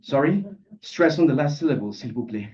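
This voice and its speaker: A man's voice: on the high side, animated, a little fast, a little mellow, pitched above the performer's own voice, natural voice. A man voicing a mocking, pedantic young male protagonist in a live story reading, pompous and needling. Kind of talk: character dialogue in a live literary reading. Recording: dull sound, distant microphone.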